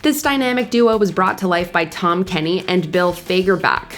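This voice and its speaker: A woman's voice: on the low side, expressive, moderate pace, over music. A woman narrating a scripted countdown show with polished presenter delivery.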